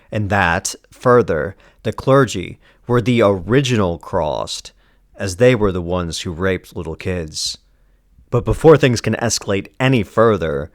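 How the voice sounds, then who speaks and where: Male narrator, low-pitched voice, grave and deliberate, scripted story recap, very dry close sound. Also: no echo.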